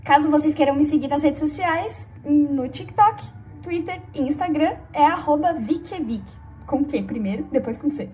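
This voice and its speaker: A woman's voice: high voice, normal speed, a little mellow, soft background music. A woman from São Paulo with a Brazilian accent, a playful podcast co-host. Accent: Brazilian Portuguese.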